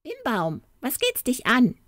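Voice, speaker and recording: A woman's voice: huge pitch swings, unhurried, a bit bright, no room sound, clean studio sound, full character voice. A woman voicing a small male church goblin in a storybook, cheeky and mocking, then begging and wailing. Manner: squeaky and mocking, later whining. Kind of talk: storybook character voice.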